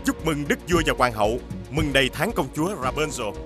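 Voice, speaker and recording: A man playing an earnest, deferential royal officer in an animated fairy-tale dub. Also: mid-range voice, brisk, over music, close to an everyday voice. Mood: devoted. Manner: formal, deferential. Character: loyal, earnest royal officer serving the king.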